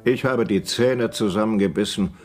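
A man's voice: lowish voice, moderate pace, darkish tone, faint music behind, natural voice. An actor playing a self-assured, unsentimental male company director with a brusque, worldly manner, in a radio drama. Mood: breezy, self-satisfied. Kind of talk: 1980s German radio drama acting.

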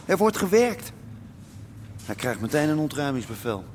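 An actor playing a wary squatter in a Dutch radio drama, low-key and cautioning. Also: male voice, very animated, normal speed. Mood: wary, hushed. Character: wary squatter guiding a friend through a building. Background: music.

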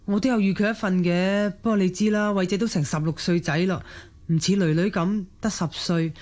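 An actress playing a worn but dutiful middle-aged daughter-in-law with a Hong Kong Cantonese accent, earnest and deferential, in a radio drama. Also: female voice, mid-range voice, steady tone, brisk, darkish tone, close to an everyday voice. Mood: resigned, explaining.

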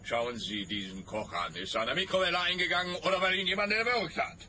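A man's voice: high-pitched, lively intonation, fast. A man playing an opinionated, lecturing character in a radio play, emphatic and rhetorical.

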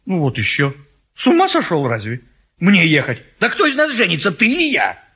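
An excitable, high-pitched, pushy friend. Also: male voice, a little fast, breathy edge, heavily acted. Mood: exasperated scolding. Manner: insistent, theatrical, cajoling and scolding. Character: pushy, excitable male friend bullying a bachelor into marriage. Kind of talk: classic Russian stage comedy acting.

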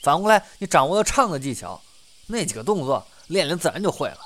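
Man acting confident young male performer, instructive, assured, rapid, high-pitched, audio drama. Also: very animated, fairly bright tone. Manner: assured, explanatory.

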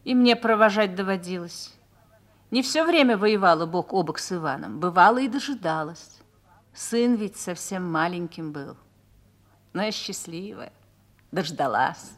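A woman's voice: on the low side, expressive, measured pace. A woman playing a mature officer's wife in a radio drama, reflective and confiding as she reminisces and explains. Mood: wistful reminiscing. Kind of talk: Russian radio drama acting.

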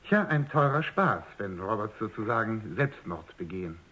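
A deferential scientist in a radio drama. His voice is husky, with animated intonation and formal phrasing. The recording is a bit muffled. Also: lowish voice, normal speed. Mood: dry regret. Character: deferential male robotics company scientist, smoothly apologetic. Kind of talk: German radio drama acting. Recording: slightly dull radio recording.

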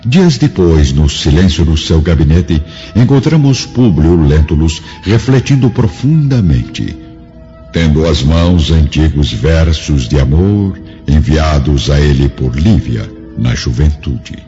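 A man with a very low, mellow voice narrates a radio drama. His formal, literary phrasing rises and falls widely, over soft music. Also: animated, a little slow. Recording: dull lo-fi audio with music behind.

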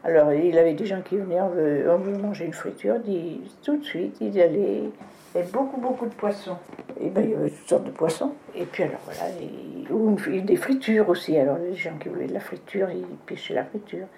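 Older woman with a low mellow voice. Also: fairly quick, creaky, slight background noise. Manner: lively storytelling with laughs. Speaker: interviewee recalling childhood. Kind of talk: casual reminiscing.

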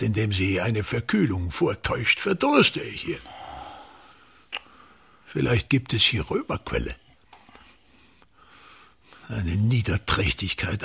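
An actor playing a pompous, bitter, tyrannical ageing actor-manager in a radio play, declaiming and haranguing with a husky voice. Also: male voice, fairly low pitch, a bit bright, full character voice. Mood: aggrieved. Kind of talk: theatrical radio play monologue.

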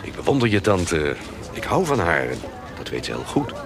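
An actor playing a gruff, devoted, roguish trader in a Dutch radio drama, terse and plain-spoken. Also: male voice, sits low, big pitch swings, moderate pace, music in the background. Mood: candid. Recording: clear background ambience noise.

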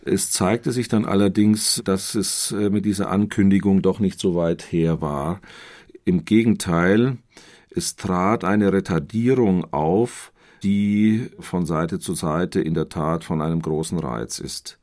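A man giving explanatory literary commentary in deliberate, halting, formal phrases. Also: low-pitched, darkish tone.

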